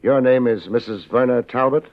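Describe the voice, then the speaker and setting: An American actor playing a wry, laid-back but tough private investigator in a 1950s radio drama. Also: male voice, mid-range voice, moderate pace, close to an everyday voice. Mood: brisk, questioning. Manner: laid-back, wry, hard-boiled delivery. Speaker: lead actor and narrator. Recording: muffled old radio recording, music behind.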